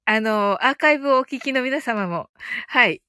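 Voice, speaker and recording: A woman's voice: a little high, expressive, moderate pace, pushed and bright, clear, resonant tone, no room sound, clean studio sound. A woman hosting a casual live audio stream, thinking aloud in a giggly, cheerful way as she chats and reads out comments.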